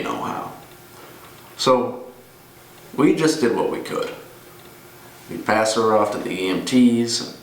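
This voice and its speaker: A man performing a dramatic monologue as a gruff, weary older ex-cop, grimly menacing, telling his tale deliberately with pauses. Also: fairly low pitch, a bit bright, full character voice. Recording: roomy, distant mic with noise.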